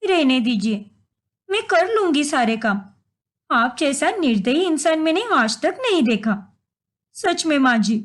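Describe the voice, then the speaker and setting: A woman's voice: sits high, sing-song, a little slow. A woman voicing a scheming, deceitful young daughter-in-law in a story, whining and complaining dramatically. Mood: pleading.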